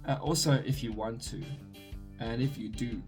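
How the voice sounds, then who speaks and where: A man's voice: mid-range voice, moderate pace, music in the background. A young man from South Africa with a South African accent, chatting casually to camera in his room, rambling and correcting himself as he goes. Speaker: vlogger. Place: his bedroom.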